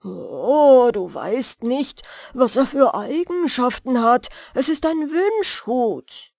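A woman voicing an earnest, simple-minded storybook giant with drawn-out, emphatic delivery. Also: high-pitched, lively intonation, vocal fry, darkish tone, dry recording, studio quality, full character voice. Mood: earnest, insistent.

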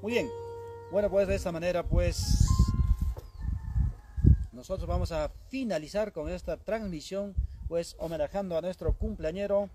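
A man hosts a live music broadcast and sends out long rounds of greetings. His voice sits fairly high, and loud music plays under everything he says. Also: normal speed, long pauses. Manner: reels off long lists of names, friendly filler "pues". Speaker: host of an online music broadcast.

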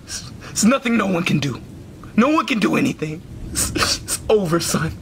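A man's voice: very high-pitched, expressive, unhurried, a bit bright, roomy sound, a little hiss or hum, full character voice. A man voicing a despairing, melodramatic monster dad in a comedy skit, whining and wailing like an overgrown child. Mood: gloomy, hopeless. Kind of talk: comedy skit character acting.

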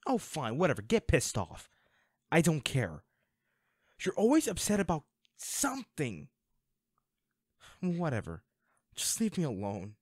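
A man's voice: fairly high pitch, slightly rough, dry recording, studio quality, exaggerated voice. A man acting a hot-tempered but caring boyfriend in an intimate audio roleplay, with naturalistic, sweary delivery. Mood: angry, irritated. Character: hot-tempered boyfriend, stressed then remorseful.

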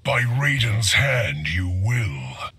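A man's voice: fairly low pitch, sing-song, drawn-out words, bright, forceful tone, breathy edge, exaggerated voice. A man playing a powerful, scornful villain in a video game, imperious and threatening. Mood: cold threat.

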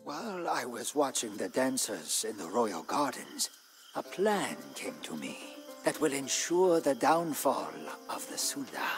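Proud aging scheming royal minister telling his tale in a strategy game, theatrical n solemn, takes it slow, very up and down pitch. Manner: solemn, deliberate, theatrical storytelling. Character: proud, ambitious ageing male Majapahit minister, scheming and solemn. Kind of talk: dramatic scripted game narration in first person. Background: background music.

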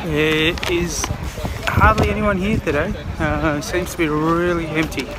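A man's voice: a little high, fairly even intonation, a little slow, heavy background noise. A man chatting casually and informally to his viewers as a vlogger, with the odd mild swear. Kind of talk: casual vlog chat to viewers.

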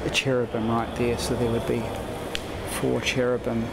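A man giving an explanatory commentary on location, speaking in a halting, deliberate way into a handheld camera as he points things out. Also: mid-range voice, completely flat delivery, gravelly. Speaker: vlogger narrating his own walking video. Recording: noisy handheld recording, city traffic and ambience. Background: street and ambient noise.

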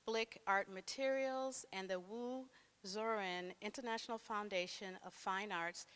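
A woman moderating a panel in a gallery, thanking sponsors and then asking questions with frequent pauses. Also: mid-range voice, steady tone, a bit bright, clear, resonant tone. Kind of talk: opening remarks and questions at a panel. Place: art gallery, indoors.

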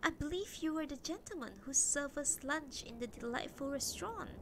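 A woman's voice: sits high, lively intonation, moderate pace, a little hiss or hum. A woman reading the part of a cheerful, effusive husband in a story, speaking courteously. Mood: courteous, pleased. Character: smiling husband, cheerful, effusive walker and admirer.

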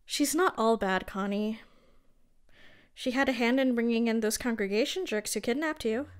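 A woman's voice: a little high, moderate pace, clean tone, lightly acted. A woman playing a reasonable, conciliatory girlfriend in an audio drama, natural and level-headed. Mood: calm, placating.

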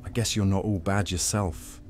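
A man acts out a sardonic, flirtatious Roman commander in a fantasy audio story. He speaks in a deep register with a dry tease, over faint music. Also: steady tone, moderate pace, natural voice. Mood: teasing. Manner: dry, teasing. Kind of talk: fantasy roleplay audio drama.

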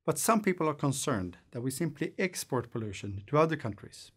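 A man, presenting a scripted lecture in a studio in a measured, thoughtful way. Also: a little high, big pitch swings, a bit bright, breathy edge. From Sweden. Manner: measured, thoughtful explaining with hand gestures. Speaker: course lecturer. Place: studio against a green screen.

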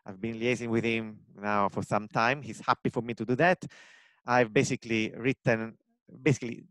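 A man's voice: medium pitch, steady tone, moderate pace, fairly bright tone, no room sound, low-quality recording. Italian-accented man in his forties, earnest interviewee on a headset mic, dry sound. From Italy, Rome. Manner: earnest, many fillers and restarts. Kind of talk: video call interview. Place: home room with bookshelves.

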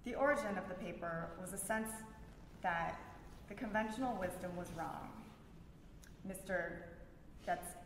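A woman with a slightly low voice reads aloud, halting now and then to retake a word. Her voice rings with very heavy echo in a big room, with noticeable noise behind it. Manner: halting, often stumbling over words and restarting. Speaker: librarian reading at a public event. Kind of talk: reading prepared texts aloud. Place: large reverberant room at a library event. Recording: very echoey hall with background noise.

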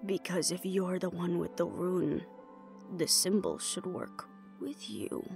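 A woman voicing a guarded, grudging, defiant old female vampire elder in an audio roleplay, speaking in a halting, solemn way. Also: on the low side, expressive, vocal fry, a little mellow, soft background music, full character voice. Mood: resigned.

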